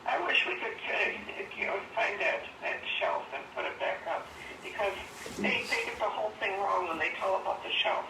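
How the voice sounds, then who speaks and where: An older woman chatting casually and haltingly on a phone call, rambling as she goes. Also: medium pitch, lively intonation, creaky. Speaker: phone interviewee, former resident of the house. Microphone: phone call played over speaker. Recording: distant noisy phone speaker, echoey.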